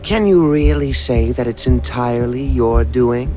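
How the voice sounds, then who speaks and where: An actor playing a haughty, boastful magic beast master who loves battle, in a game cutscene. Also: male voice, medium pitch, close to an everyday voice. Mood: haughty, amused. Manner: boastful, slow and deliberate. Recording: music behind the voice.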